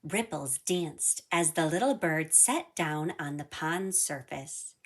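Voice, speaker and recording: Female children's storybook narrator, slightly low register, highly animated delivery, unhurried pace. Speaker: storybook reader. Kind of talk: expressive children's read-aloud narration.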